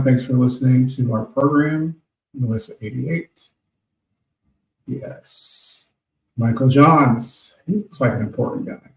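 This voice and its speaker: A man's voice: mid-range voice, a little mellow, recorded from a distance. A man in his late fifties hosting an internet radio show, rambling casually and reading out listener names. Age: 50s. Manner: meandering, reads out listener names. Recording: echoey room, voice off-mic.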